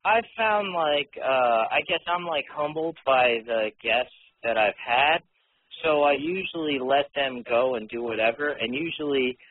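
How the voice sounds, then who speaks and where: A man's voice: medium pitch, unhurried, breathy edge, no echo. A man who hosts a radio show, chatting casually and bluntly as an interview guest. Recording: tinny phone-like line.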